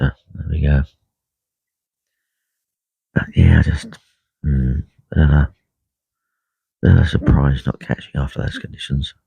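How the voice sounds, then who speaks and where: A man with a croaky voice talking quietly and unhurriedly on a live stream from a lake bank, full of ums and uhs. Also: extremely low pitch, no echo. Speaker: carp angler livestreaming. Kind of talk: casual live stream chat. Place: lakeside fishing swim outdoors. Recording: dull lo-fi live stream audio.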